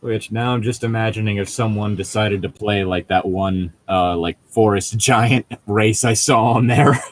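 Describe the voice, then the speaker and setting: A man's voice: fairly low pitch, fairly even intonation, moderate pace, no echo. A man co-hosting a read-along show, chatting casually between read passages with a mocking, sardonic manner.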